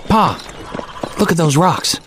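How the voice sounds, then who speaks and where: Higher-pitched, curious frontier boy. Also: slow pace, fairly bright tone, a little hiss or hum. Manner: inquisitive, plainspoken. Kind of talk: dramatized western audiobook acting.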